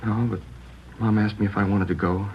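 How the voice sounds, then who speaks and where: An actor playing a shaken teenage boy whose mother has just died, halting and upset, his voice rising at the worst moments in an old radio drama. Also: on the low side, level tone, a little hiss or hum, close to an everyday voice. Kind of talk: 1950s radio drama acting. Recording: dull lo-fi old radio broadcast.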